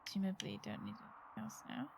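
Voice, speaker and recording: A woman's voice: fast talker, noisy background. A woman game streamer giving casual, playful live commentary, thinking aloud and exclaiming as she plays.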